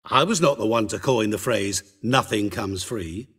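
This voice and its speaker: An actor voicing a suave, sinister otherworldly merchant in a video game, theatrical, smug and teasing in a deliberate way. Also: male voice, on the low side, a bit bright, breathy edge. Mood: amused, theatrical.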